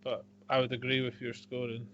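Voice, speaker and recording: A man's voice: medium pitch, brisk. A man co-hosting a podcast, chatting casually with short, hesitant replies. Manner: relaxed, brief replies with ums. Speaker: podcast co-host. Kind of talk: casual chat. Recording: dull lo-fi audio.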